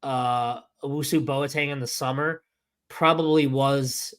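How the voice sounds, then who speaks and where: A hoarse man, sick for days and now losing his voice, talking through a casual sports podcast. He stops and restarts often, pushing on in uneven bursts. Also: mid-range voice, steady tone, a bit bright. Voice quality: halting, many restarts and fillers. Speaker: podcast co-host.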